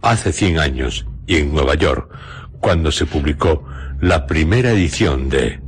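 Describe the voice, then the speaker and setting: A man with a Castilian Spanish accent, narrating a literary radio programme in a solemn, measured storyteller's manner. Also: extremely low pitch, expressive. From Spain. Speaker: host and narrator of a radio drama series.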